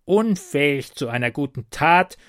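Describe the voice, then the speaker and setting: A man's voice: a little high, expressive, unhurried, fairly bright tone, no room sound, clean studio sound. A man voicing a small, grumpy green male house goblin who talks in a scornful, snappish way. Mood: grumbling.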